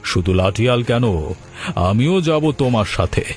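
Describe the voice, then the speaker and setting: A man playing a very animated blustering village elder. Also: mid-range voice, moderate pace, close to an everyday voice. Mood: scheming. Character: blustering village men, stern protective uncle and landlord. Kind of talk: Bengali cartoon folktale acting. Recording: music bed behind the voice.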